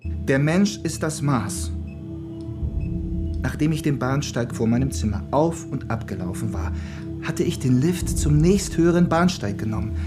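An actor playing a strong, rebellious son in a German radio play, telling of his forbidden escape with deliberate, dramatic emphasis. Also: fairly high pitch, natural voice. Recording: music under the voice.

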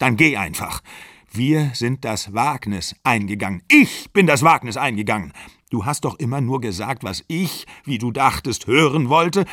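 A man voicing an older man, shouting in desperate, bitter anger at the woman who is leaving him. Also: mid-range voice, no echo, clean studio sound. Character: older man, desperate and angry at being left.

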